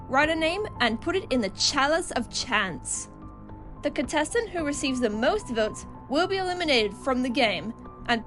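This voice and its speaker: A high-voiced, theatrical host of a spooky animated contest, acted by a woman. The delivery is showy and mocking, stretching out announcements, with faint music underneath. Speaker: game show host. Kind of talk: scripted animated show announcing.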